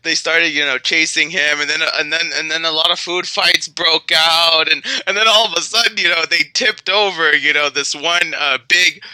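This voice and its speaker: A man with a fairly high, strikingly bright and forceful voice spins an improvised tale. He rambles and repeats himself in an excitable way. The sound is dry and close. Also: moderate pace, hardly any pauses, clean tone, no echo, low-quality recording. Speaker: storyteller. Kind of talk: improvised spoken storytelling in character as a magical bear.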